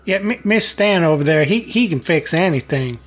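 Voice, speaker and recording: A man's voice: fairly high pitch, expressive, normal speed. A man voicing an easygoing country driver with a rural Southern US accent.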